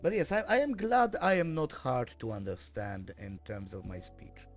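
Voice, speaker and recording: Guy streaming and rambling, lots of uhs and restarts, gravelly fry in his voice. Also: mid-range voice, moderate pace, soft background music. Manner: hesitant, many fillers and self-corrections. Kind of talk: casual live-stream chat while working.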